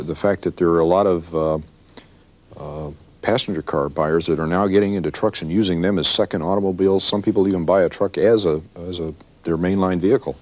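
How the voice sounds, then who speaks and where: Very low-voiced American male designer, easygoing, halting explanations in a panel setting. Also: a little mellow, no echo. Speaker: vehicle designer. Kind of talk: panel discussion about product development.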